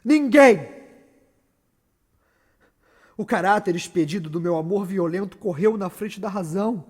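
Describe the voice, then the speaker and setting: A Brazilian man playing a tormented, guilt-ridden, ambitious murderer in a solemn, declamatory Shakespearean stage monologue. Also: sits high. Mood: impassioned, indignant. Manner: declamatory, solemn, dramatic pauses. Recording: echoey hall with long reverb.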